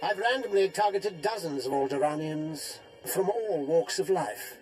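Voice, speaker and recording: A game villain acted by a man, a sadistic Sith with a fairly high voice. He speaks with mock courtesy, savoring each taunt theatrically, over light music. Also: unhurried, a little mellow, natural voice. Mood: gloating. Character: gloating sadistic male Sith villain, mockingly polite. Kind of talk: video game cutscene acting.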